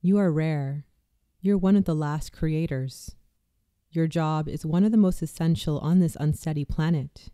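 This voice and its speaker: A meditation guide narrating a guided visualization in a slow, soft, soothing delivery with hushed moments. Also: mid-range voice, clean tone, no room sound, studio quality.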